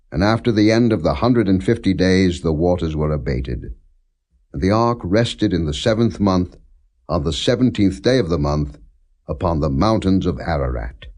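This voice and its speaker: Male scripture narrator, low mellow voice, measured and solemn, reading without character acting. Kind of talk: non-dramatized scripture reading.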